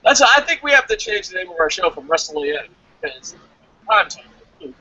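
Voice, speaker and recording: Animated, high-voiced man, blunt wrestling commentary. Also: normal speed, no room sound, poor audio. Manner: profane, blunt. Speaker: co-host of a wrestling review show. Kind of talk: casual chat, opinionated sports commentary.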